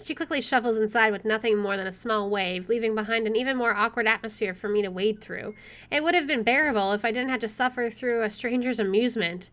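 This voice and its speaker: A woman's voice: fairly high pitch, quick pace, fairly bright tone. A woman doing a game playthrough, reading narration aloud expressively and adding giggly asides of her own. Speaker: let's player.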